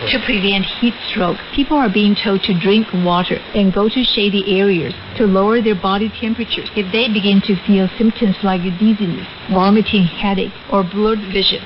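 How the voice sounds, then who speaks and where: A woman's voice: mid-range voice, steady tone, moderate pace, few pauses, dull audio, a little hiss or hum. A woman presenting scripted radio news on a shortwave broadcast.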